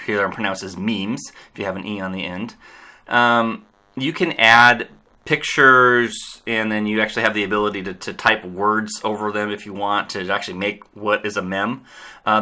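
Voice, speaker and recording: A middle-aged man explaining an online course website step by step, in a casual, relaxed way with lots of ums. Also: fairly low pitch. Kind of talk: screen-recorded software walkthrough.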